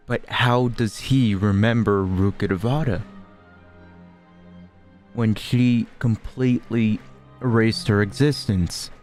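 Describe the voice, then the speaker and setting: A male gaming streamer with a fairly low voice. He comments casually and informally on a playthrough, with soft game music behind him. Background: faint game music.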